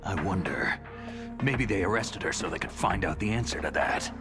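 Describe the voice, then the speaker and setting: An actor playing a gruff, suspicious, hard-bitten soldier hero with a gravelly voice in a dramatic video game cutscene. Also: male voice, low voice, moderate pace, lightly acted. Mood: grim suspicion. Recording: loud game music over the voice.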